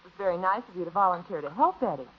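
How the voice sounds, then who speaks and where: A woman's voice: lowish voice, expressive, racing, lightly acted. An American woman playing a patient but firm middle-aged mother in a 1950s radio sitcom. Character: patient, firm mother and housewife calming squabbling children. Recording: muffled old radio recording, faint noise.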